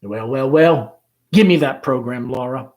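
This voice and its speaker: A man reading the part of a self-assured, breezy young man in a play, in brisk, declarative phrases. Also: mid-range voice, darkish tone, lightly acted. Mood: breezy, confident. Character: confident, breezy young man who likes analyzing people. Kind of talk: amateur play read-aloud.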